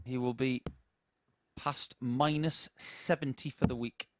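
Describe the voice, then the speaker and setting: A man's voice: steady tone, slow pace, dry recording. A man giving live darts commentary, analysing play and chatting with his co-commentator. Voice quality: deep voice. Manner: conversational analysis, with asides to a co-commentator.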